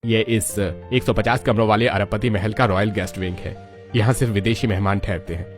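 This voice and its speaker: A man acts a respectful palace man in a story cartoon. His voice is fairly low and his delivery formal and explanatory, with music behind. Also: steady tone, a little fast, natural voice. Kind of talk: Hindi moral-story cartoon dialogue.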